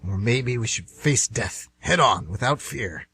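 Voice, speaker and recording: A man's voice: medium pitch, lively intonation, measured pace, sharp tone, slightly rough, heavily acted. A man playing a hopeful, earnest train passenger and devoted father in an amateur radio drama, somewhat hesitant in speech. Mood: earnest, determined.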